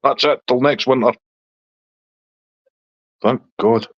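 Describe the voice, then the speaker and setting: A man's voice: medium pitch, fairly even intonation, takes it slowly, no echo, highs cut off. A middle-aged man from Scotland with a Scottish accent, chatting casually on a live stream and answering viewers' comments.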